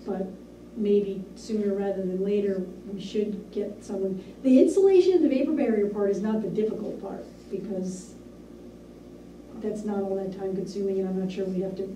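A woman's voice: medium pitch, dark tone. Businesslike woman in an echoey meeting room. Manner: measured, businesslike, with frequent ums. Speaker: town administrator. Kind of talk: reporting at a board meeting. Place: small municipal meeting room. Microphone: far room camera. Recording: echoey room, distant mic, hiss.

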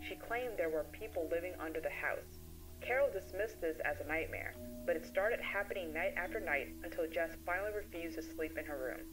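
A woman playing a composed child therapist, giving a measured, professional case briefing to a colleague in an analog horror voice-over. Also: lowish voice, music in the background, close to an everyday voice, low-quality recording. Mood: grave, clinical.

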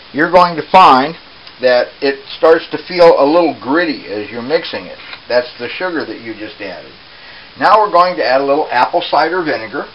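Higher-pitched man giving unhurried cooking instructions. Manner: unhurried, explanatory. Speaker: home cook presenter. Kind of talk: casual cooking demonstration. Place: small home kitchen, indoors. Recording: dull, hissy home camera audio.

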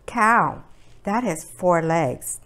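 A middle-aged woman giving a demonstration for parents as an early childhood educator, speaking in simple, deliberate phrases with short pauses. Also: lowish voice, expressive, fairly bright tone. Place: indoors at a table by a brick fireplace.

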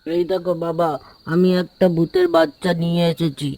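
A man's voice: a little high, big pitch swings, moderate pace, vocal fry, warm tone, low-quality recording. A man voicing a lazy, headstrong and naive young village son in a cartoon. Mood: exasperated.

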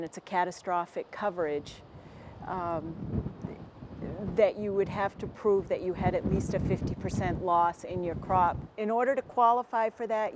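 An American woman in her forties, a dairy farmer answering interview questions in a plainspoken, halting and measured way. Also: fairly low pitch, noisy background. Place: inside a barn with hay bales. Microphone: lapel mic.

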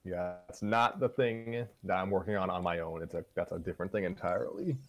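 A man co-hosting a show in casual, unscripted chat, talking in a halting, self-deprecating way. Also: low-pitched. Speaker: co-host of a fan fiction reading show.

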